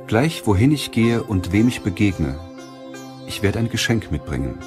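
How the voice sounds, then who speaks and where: A man narrating a self-help audiobook in a measured, deliberate way. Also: lowish voice, very animated, music in the background.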